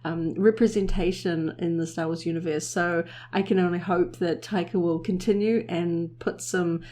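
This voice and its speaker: A woman's voice: lowish voice, steady tone, moderate pace, mellow. A New Zealand woman co-hosting a podcast, speaking thoughtfully with occasional ums. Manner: thoughtful, hopeful, with filler ums. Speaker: podcast co-host. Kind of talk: casual podcast discussion.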